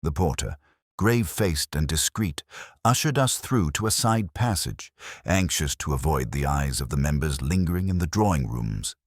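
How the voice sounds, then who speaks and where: Very deep-voiced male story narrator. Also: expressive, dry recording, studio quality. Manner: measured, formal, literary delivery. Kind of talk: scripted audiobook narration of a Victorian detective story.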